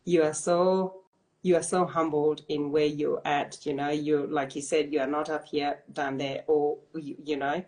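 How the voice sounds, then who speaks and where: Woman host, low voice, soft-spoken, affirming, live-stream chat. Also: flat delivery, a little slow, low-quality recording. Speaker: host, interviewer. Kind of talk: casual live-stream interview.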